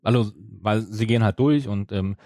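A man's voice: on the low side, moderate pace, dark tone, dry recording, clean studio sound. A middle-aged man hosting a podcast in casual chat, explaining and qualifying his points. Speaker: podcast host. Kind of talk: casual chat about an audio drama series.

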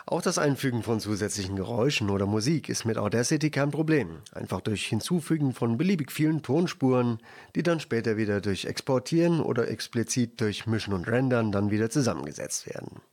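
Male community radio presenter, explanatory delivery with lively, varied pitch at a mid register. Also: a little fast. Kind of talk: explanatory radio report with how-to tips.

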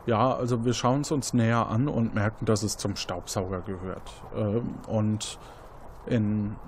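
A man's voice: fairly low pitch, steady tone, measured pace, slight background noise. A man hosting as game master, narrating an interactive detective game in a conversational, improvised manner.

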